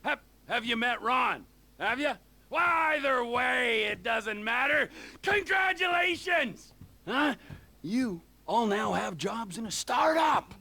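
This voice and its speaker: A volatile drug boss in a crime game, pitched very high and swooping wildly. He talks like a deranged startup founder, slow and theatrical, with a hard, forceful edge. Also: expressive, creaky, full character voice. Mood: manic glee. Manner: theatrical, swinging from hushed growls to shouting. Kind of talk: video game cutscene acting.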